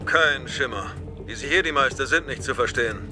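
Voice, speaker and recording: A man playing a gruff, dutiful clone soldier with brisk military speech in an audio drama. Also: mid-range voice, natural voice. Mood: brisk, alert. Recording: loud music behind.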